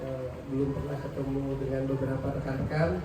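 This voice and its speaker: A man's voice: medium pitch, flat delivery, a little fast, music in the background. A man politely introducing himself at a gathering in a formal way. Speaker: participant. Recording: echoey, from far off.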